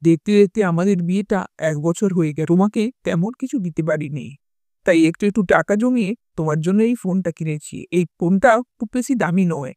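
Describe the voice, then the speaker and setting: A man's voice: high voice, darkish tone, no echo, close to an everyday voice. A man voicing a humble, loving young husband in a cartoon story. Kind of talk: Bengali cartoon fairy-tale voice acting.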